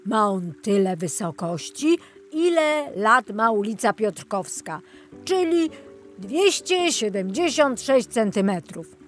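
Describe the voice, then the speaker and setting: A woman's voice: a little high, big pitch swings, slow pace, soft background music. A woman voicing a jolly, absent-minded older male tour guide in a children's storybook, rambling and enthusiastic. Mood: chatty, proud.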